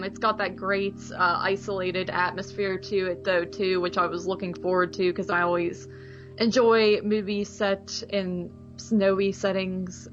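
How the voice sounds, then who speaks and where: Informal woman on slightly dull podcast audio. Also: medium pitch. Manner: informal, colloquial. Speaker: movie podcast co-host. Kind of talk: casual film review chat.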